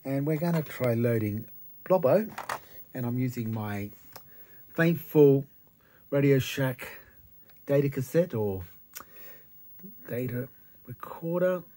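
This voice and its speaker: A middle-aged Australian man giving a casual, unscripted hands-on demo in halting phrases, recorded on a handheld phone indoors. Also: medium pitch, lively intonation, dark tone. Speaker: retro computer hobbyist presenter. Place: small cluttered room indoors.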